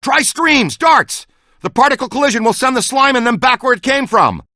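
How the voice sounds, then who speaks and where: A man voicing a brisk, wry member of a ghost-catching team in a video game. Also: extremely high pitch, very animated, bright, forceful tone, no echo, studio quality, full character voice. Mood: brisk, matter-of-fact. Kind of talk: video game character lines.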